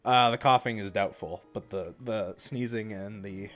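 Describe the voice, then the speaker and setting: A man's voice: lowish voice, normal speed, faint music behind. A young man chatting casually on a live stream, a bit congested from a cold, rambling and correcting himself as he goes.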